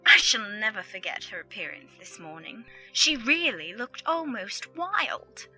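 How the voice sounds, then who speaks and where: A woman playing a snobbish, gossipy young gentlewoman in a period audiobook drama, with haughty, exaggerated emphasis. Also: medium pitch, big pitch swings, a little slow, soft background music, heavily acted, poor audio. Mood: catty disdain.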